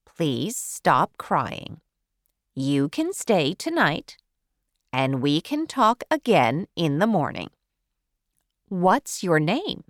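A woman's voice: sits low, huge pitch swings, drawn-out words, a bit bright, no echo, studio quality, full character voice. A woman voicing a stern, practical older woman in a storybook, speaking firmly.

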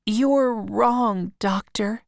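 A high, sweet teenage girl who is trusting and eager, as a woman voices her in a story reading. The sound is dry and close. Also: drawn-out words, creaky, no echo, full character voice. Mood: sweetly insistent.

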